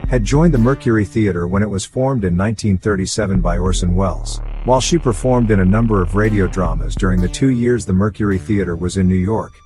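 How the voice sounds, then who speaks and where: A man's voice: deep voice, fairly quick, hardly any pauses, over music. An American man reading scripted documentary narration as the narrator of a local history program.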